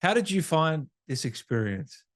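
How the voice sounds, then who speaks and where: A man's voice: a little high, very animated, a little slow. A man with an Australian accent, chatting casually as the host of an interview.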